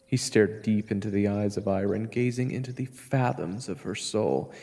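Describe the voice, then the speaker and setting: A man's voice: lowish voice, steady tone, normal speed, dark tone, in a large hall. A man narrating a first-person fantasy audio drama, telling the story as one of its characters.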